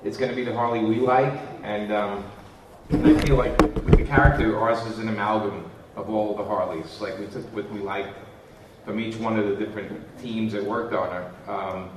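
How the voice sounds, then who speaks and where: A man talks in loose, rambling anecdotes on a convention panel. His voice sits on the low side. He is picked up from well off the mic in a very echoey room, with a faint hum underneath. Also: fairly even intonation, moderate pace, recorded from a distance. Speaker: comic writer on a panel.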